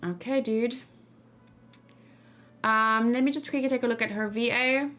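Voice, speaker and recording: A woman's voice: fairly high pitch, fairly even intonation, measured pace, a bit bright. A woman streamer talking casually about a game, musing informally and thinking aloud as she goes. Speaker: streamer and reaction host. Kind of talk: casual livestream game discussion.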